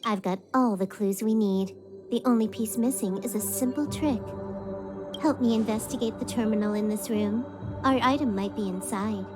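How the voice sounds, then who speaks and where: Actress as young female game hacker: medium pitch, nonchalant, smug, factual delivery, clear music bed. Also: normal speed, close to an everyday voice. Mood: matter-of-fact. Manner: matter-of-fact, nonchalant, cocky. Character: smug young female hacker, brisk, cool and self-assured. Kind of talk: English video game voice acting. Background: game soundtrack music.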